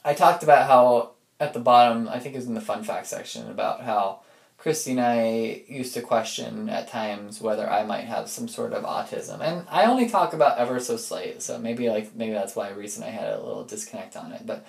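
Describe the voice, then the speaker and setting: A man's voice: medium pitch, normal speed, vocal fry. Man rambling casually in an echoey room. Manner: informal, reflective, loses and regains his thread. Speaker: personal audio log diarist. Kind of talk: casual rambling personal monologue.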